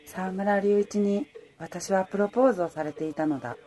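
A wistful young-hearted heroine acted by a woman tells her radio love story softly and reflectively. The voice is dark and gently mellow, sitting a little low, with music playing behind it. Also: moderate pace, close to an everyday voice. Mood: wistful, grieving. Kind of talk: radio drama narration and dialogue.

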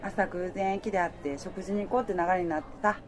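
A woman's voice: lowish voice, fairly even intonation, quick pace, a loud crowd, heavy background noise, close to an everyday voice. A woman playing a romantic, easily flustered working woman, in radio drama dialogue and first-person narration. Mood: warm, reflective.

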